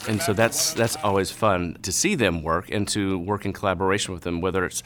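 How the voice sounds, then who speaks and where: A man speaking in a reflective interview as a music arranger, thoughtful and halting. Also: low voice, fairly even intonation, heavy background noise.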